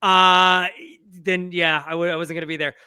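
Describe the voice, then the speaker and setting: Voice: mid-range voice, level tone, moderate pace, pushed and bright, clean tone, no room sound, studio quality. A podcast co-host chatting casually, with joking, rambling banter.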